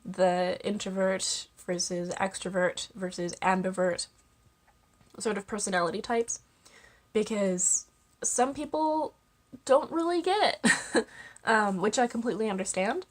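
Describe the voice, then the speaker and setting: A young woman vlogging to camera, congested with a cold and chatting casually with lots of ums and small laughs. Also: mid-range voice. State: congested, stuffy-nosed. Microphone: camera mic.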